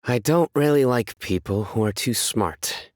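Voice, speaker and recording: An actor playing a stern, composed young male judge in a video game, speaking in a measured, serious, deadpan way. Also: medium pitch, dry recording, clean studio sound, lightly acted. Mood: earnest. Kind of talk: scripted game character voice lines.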